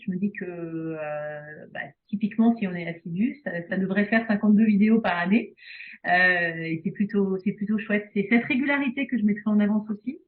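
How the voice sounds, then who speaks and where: A woman from Belgium, a speech therapist talking casually in an interview, hesitant with many fillers and restarts. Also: medium pitch. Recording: phone-like video call audio.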